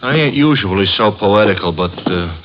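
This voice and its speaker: A man doing a slangy, wisecracking cabbie from an old radio drama, nervous and comically glum. The voice is on the low side. The recording is dull, with clear hiss and clicks. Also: lively intonation, moderate pace, natural voice. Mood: uneasy. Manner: slangy, comically glum working-class talk. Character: nervous, wisecracking working-class male cab driver sidekick. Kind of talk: 1950s radio drama acting.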